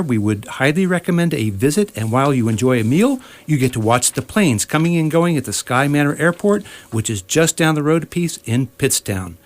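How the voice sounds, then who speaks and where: A man hosting a scripted satirical commentary in a mock-serious, wordy manner. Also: mid-range voice. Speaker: host.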